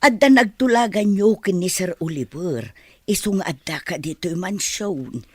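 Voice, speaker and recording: A woman's voice: fairly low pitch, animated, normal speed, husky, no echo, studio quality. A woman playing an older, plain-spoken housekeeper in a radio drama, blunt and motherly. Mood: blunt, teasing. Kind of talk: melodramatic radio drama acting.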